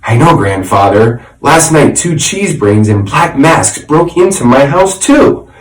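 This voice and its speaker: A timid yet self-important gentleman mouse, acted by a man reading a storybook, speaks with excitable, dramatic swoops. The voice squeaks upward and echoes in the room. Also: measured pace, far from the mic. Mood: proud, excited.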